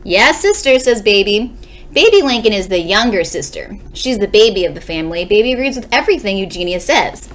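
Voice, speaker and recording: A woman's voice: medium pitch, animated, a little fast. A woman, a second grade teacher, reading a storybook aloud expressively and explaining it for young listeners. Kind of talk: expressive children's read-aloud.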